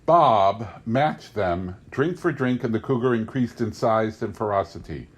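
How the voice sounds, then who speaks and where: A man reading a memoir novel aloud in an expressive, scripted storytelling style. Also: lowish voice, a little slow, husky. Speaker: reader of an audiobook.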